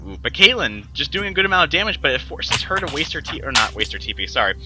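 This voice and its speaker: A young man doing casual live commentary on a college esports match, smooth-voiced, laughing easily and correcting himself as he goes. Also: fairly high pitch, sing-song, quick pace, very noisy. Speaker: esports caster.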